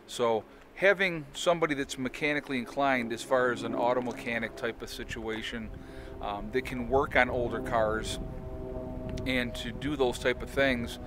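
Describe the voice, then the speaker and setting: A man in his forties talking casually to a handheld camera outdoors, rambling with many fillers and restarts. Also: mid-range voice. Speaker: homesteading vlogger. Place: outdoors in woods on a sunny day. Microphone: phone or camera held at arm's length.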